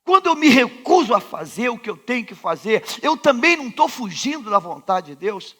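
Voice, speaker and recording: A Brazilian pastor with a Rio de Janeiro accent, preaching a sermon in a large church sanctuary, who poses rhetorical questions and repeats refrains, swinging from quiet to loud. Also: male voice, extremely high pitch, very animated, normal speed. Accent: Rio de Janeiro Brazilian Portuguese.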